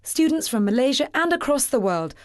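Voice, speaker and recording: A woman giving scripted documentary narration in a measured, formal way. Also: high voice, very animated, no room sound, clean studio sound.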